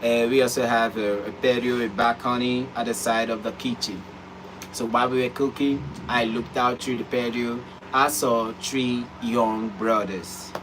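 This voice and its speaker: A man tells a story in a relaxed, unhurried way, pausing with ums as he goes. His voice sits at a middle pitch for a man. The home recording carries a clear layer of noise behind him. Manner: relaxed, hesitant, with ums and uhs. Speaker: vlog co-host. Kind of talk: casual storytelling. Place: at home, seated.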